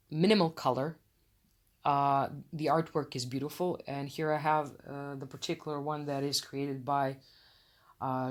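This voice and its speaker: Solo podcast host with a Croatian accent, chatty and effusive, non-native English, a touch self-conscious. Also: medium pitch. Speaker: podcast host, tarot reader. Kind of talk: casual solo podcast chat.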